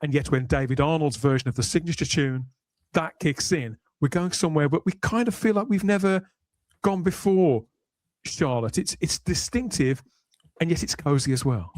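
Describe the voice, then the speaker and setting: Higher-voiced man hosting, close dry sound. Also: moderate pace, darkish tone, dry recording. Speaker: podcast host. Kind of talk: panel discussion of a sci-fi series.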